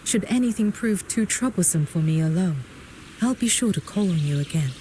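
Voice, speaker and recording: A mellow, lowish woman-acted game proprietress, poised and gracious. She speaks formally and keeps herself guarded, with faint noise behind her. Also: animated, natural voice. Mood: gentle, composed. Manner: formal, measured, reserved. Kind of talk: video game character voice acting.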